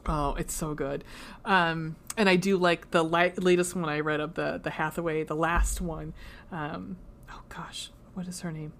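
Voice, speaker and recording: Low-voiced woman chatting casually. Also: a bit bright. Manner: chatty and profane, trails off with ums. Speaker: podcast co-host. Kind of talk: casual chat.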